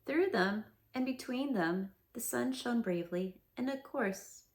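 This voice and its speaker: A woman's voice: on the high side, a little slow, a little mellow. A woman reading a children's storybook aloud, adding chatty asides to her listeners. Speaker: storybook reader. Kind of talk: children's read-aloud narration.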